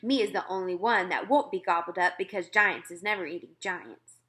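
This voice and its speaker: A woman's voice: fairly low pitch, normal speed, fairly bright tone, lightly acted. A woman voicing a big, friendly male giant in a children's storybook, speaking emphatically with quaint, muddled grammar. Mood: cheerful warning. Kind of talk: children's storybook read-aloud.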